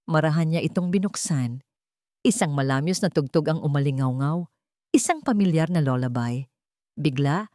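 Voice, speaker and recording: Filipino woman narrating drama, low voice. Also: darkish tone, dry recording, clean studio sound. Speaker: story narrator. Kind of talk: dramatic scripted narration of a romantic story.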